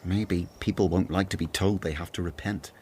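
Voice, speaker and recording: An actor with a Northern Irish accent playing Matthew, an earnest, gentle former tax collector, in a radio drama. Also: male voice, deep voice, fairly even intonation, moderate pace, husky.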